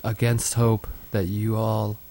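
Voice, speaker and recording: A man's voice: lowish voice, little pitch movement, slow pace, warm tone. A man co-hosting a crude casual chat show, talking in a profane, offhand way that often trails off. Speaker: podcast co-host.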